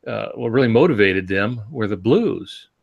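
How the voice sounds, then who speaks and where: A man with a moderately low voice co-hosts a conversational podcast. He talks in a loose, rambling way and works toward his point through examples. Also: animated, normal speed, gravelly, breathy edge, narrow, tinny audio. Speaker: podcast co-host.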